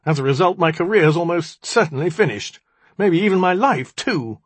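Man voicing a haughty, raised-pitch young magician. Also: big pitch swings, normal speed, husky, dry recording. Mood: bitter, aggrieved. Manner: haughty, accusing, dramatic. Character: bitter, self-pitying young male magician. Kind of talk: audiobook character dialogue.

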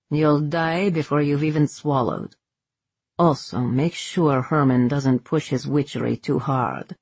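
A woman's voice: sits low, a little mellow, breathy edge, no echo, heavily acted. A woman voicing a stern, brusque prince and admiral in an audiobook, giving terse, commanding orders.

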